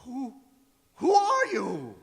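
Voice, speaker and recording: An actor playing a fearful, regretful old miser in a theatrical radio drama, stammering and exclaiming, with music under him in a reverberant hall. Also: male voice, very high-pitched, wildly swooping pitch, slow pace, vocal fry, exaggerated voice. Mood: frightened. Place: performing arts center stage.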